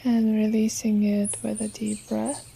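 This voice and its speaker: A woman guides a relaxation meditation in a slow, soothing voice. Her delivery is gentle and quite even, with little rise or fall. Faint nature sounds and birdsong sit behind her. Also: mid-range voice, vocal fry, a little hiss or hum, poor audio. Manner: soothing, unhurried, gentle instruction. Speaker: yoga nidra teacher.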